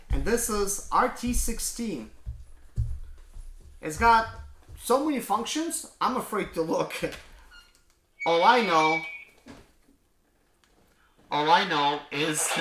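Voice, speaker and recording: Excitable man unboxing gadgets. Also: on the high side. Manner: excited, exclaims often. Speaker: mobile mechanic YouTuber. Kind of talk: casual unboxing vlog. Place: indoors at home. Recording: echoey room with faint noise.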